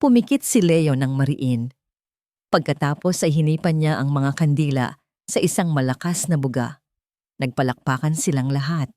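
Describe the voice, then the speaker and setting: A woman with a Filipino accent reading a scripted dramatic story in a sentimental, measured storytelling delivery. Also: low voice, mellow, no echo.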